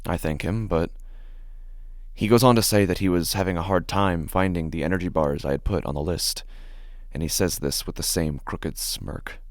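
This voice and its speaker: A man performing a horror podcast monologue as an obsessive, unravelling office worker, speaking with deliberate, intense pauses. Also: sits low, dry recording, studio quality. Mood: tense, simmering. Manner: deliberate, intense, pausing and repeating words. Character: obsessive, increasingly unravelled male office worker narrating his dread. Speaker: horror fiction narrator. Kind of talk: dramatic first-person horror monologue.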